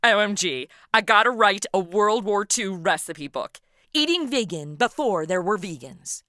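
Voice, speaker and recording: A woman hosts an interview in a bright voice with very lively intonation. She is casual and quippy, asking questions conversationally. The recording is close and dry, without room sound. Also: medium pitch, unhurried, no room sound. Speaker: podcast host.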